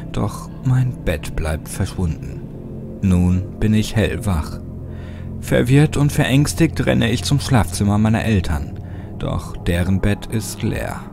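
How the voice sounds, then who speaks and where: Low-voiced man narrating horror over loud music. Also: creaky. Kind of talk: dramatic scripted audiobook narration of a horror story. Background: loud music under the voice.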